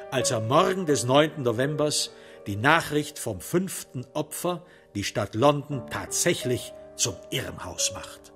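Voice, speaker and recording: A man narrates a dark crime radio play at a medium pitch. His delivery is measured and dramatic, with pointed pauses, and music plays behind him.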